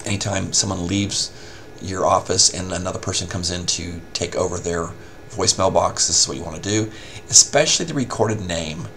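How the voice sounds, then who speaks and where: A man giving a casual, step-by-step software tutorial as a help desk instructor. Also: low voice, moderate pace, creaky, few pauses, a little hiss or hum. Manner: informal step-by-step explaining.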